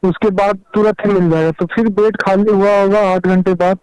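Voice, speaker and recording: High, clear male voice on a phone call. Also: steady tone, moderate pace, a little mellow, dry recording. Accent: Bihari. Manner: plain, step-by-step telling. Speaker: personal assistant to a politician, interviewee. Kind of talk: recounting events on a phone call. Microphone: telephone. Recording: dull, dry recorded phone call.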